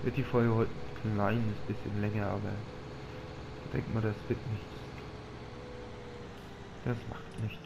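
A man's voice: fairly low pitch, level tone, measured pace, vocal fry, long pauses, highs cut off. Man with a slight cold, rambling. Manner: rambling, thinking aloud with fillers. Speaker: gaming channel host. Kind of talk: casual let's-play commentary. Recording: audible background noise.

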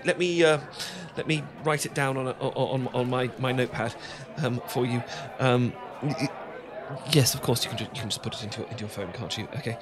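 A man's voice: medium pitch, voice pushed up, close to an everyday voice. A man with a British accent playing a flustered, smitten young English professor in a romantic audio roleplay, stammering and politely old-fashioned. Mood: flustered, eager. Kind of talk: romantic ASMR roleplay acting.

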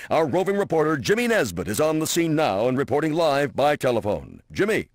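Animated, slick American news anchor. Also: male voice, on the high side, fairly quick, background noise. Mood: urgent, official. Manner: formal, showy announcer delivery. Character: slick, self-important male TV news anchor. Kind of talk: parody newscast announcing.

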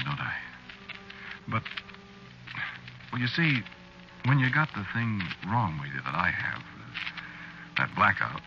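Henpecked husband, 1940s radio play: hesitant, mild, expressive pitch, muffled vintage recording. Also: male voice, background noise. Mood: wistful, confiding. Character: meek, worried, henpecked working husband and storyteller. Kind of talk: 1940s radio drama, conversational first-person narration.

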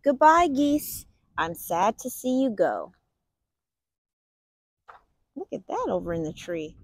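A woman reading a picture book aloud for children in an expressive, deliberate storytelling manner. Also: fairly high pitch, no room sound, studio quality. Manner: expressive, deliberate storytelling with stressed sound words. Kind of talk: animated children's read-aloud.

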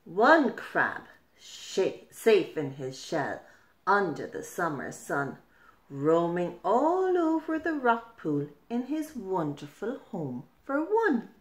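A middle-aged woman tells a children's story with great expression. She reads very slowly and deliberately, swinging her pitch widely. The room gives her voice a noticeable echo. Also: big pitch swings. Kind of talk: animated children's picture-book reading. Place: small furnished room at home. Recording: roomy indoor echo.

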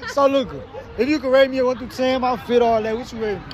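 A man's voice: extremely high pitch, fairly even intonation, fast, crowd noise. A young man hosting a playful, slangy street interview outside a mall at night. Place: outdoor parking lot at night by a mall.

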